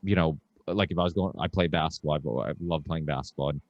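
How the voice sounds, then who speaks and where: A man from Portugal hosting a podcast, chatting casually with lots of restarts and animated asides. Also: a bass voice, fast, dry recording, dull audio. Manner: talkative, animated storytelling with frequent restarts. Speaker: podcast host. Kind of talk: casual conversation.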